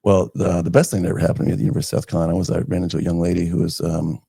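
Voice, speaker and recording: A man's voice: low voice, flat delivery, racing, gravelly, mellow, husky, no echo, clean studio sound. A man in his fifties from northern New Jersey, a guest telling his life story in a loose, rambling way full of fillers and restarts. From northern New Jersey, United States. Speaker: guest, community foundation director. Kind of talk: casual conversational storytelling.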